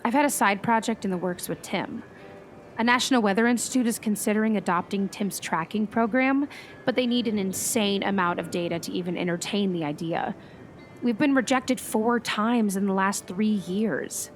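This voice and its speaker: A woman's voice: medium pitch, normal speed, faint music behind, close to an everyday voice. A woman playing an earnest, caring meteorologist in a naturalistic radio play, conversational and thoughtful. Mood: subdued.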